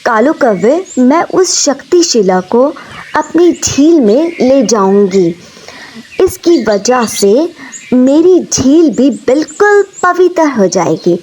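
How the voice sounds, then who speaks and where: A woman's voice: high-pitched, sing-song, slow pace, darkish tone, a little hiss or hum, full character voice. A woman voicing a persuasive, possessive mermaid in a cartoon story, speaking insistently. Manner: coaxing, insistent.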